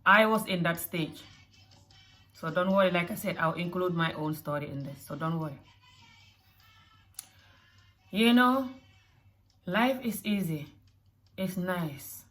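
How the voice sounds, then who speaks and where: Low-voiced woman with African-Scottish accent. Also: unhurried, long pauses, a bit bright, faint music behind. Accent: African and Scottish mixed. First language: not English. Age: adult mother. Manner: earnest, repeats phrases, emphatic. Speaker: vlogger. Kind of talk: motivational talk to camera.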